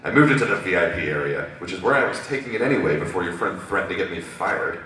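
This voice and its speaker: A man's voice: sits low, animated, fast, bright, forceful tone, very echoey, distant mic, a little hiss or hum. A man voicing an irate pony witness who complains in a curt, indignant, huffy manner.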